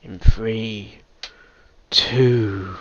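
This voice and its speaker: A man's voice: fairly low pitch, fairly even intonation, drawn-out words, a bit bright, husky, dull audio. A man giving casual, off-the-cuff reaction commentary with exclamations. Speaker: reaction video host.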